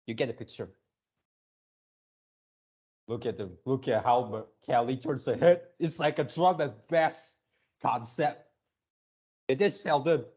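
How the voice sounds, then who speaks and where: A man hosting a reaction video with casual, off-the-cuff commentary, speaking haltingly and repeating his words and phrases. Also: mid-range voice, a little mellow, breathy edge.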